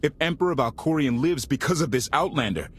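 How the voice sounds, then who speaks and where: A man's voice: a little high, expressive, a little fast, slight background noise, lightly acted. An actor playing a fervent, loyal male warrior in a video game, with blunt delivery. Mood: hopeful, firm.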